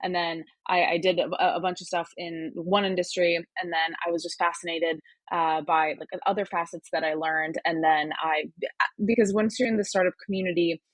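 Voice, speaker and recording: A woman's voice: fairly low pitch, steady tone, moderate pace, no echo. A young adult woman from Turkey who sounds American, talking casually as a podcast guest, with lots of ums and restarts. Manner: chatty, many fillers and restarts. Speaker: podcast guest, hardware startup advisor. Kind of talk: casual interview conversation.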